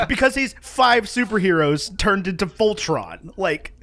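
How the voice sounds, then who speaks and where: A man's voice: sits high, slow. A man co-hosting a podcast in casual, jokey banter. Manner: jokey, animated, playful banter. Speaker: podcast co-host. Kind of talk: casual chat.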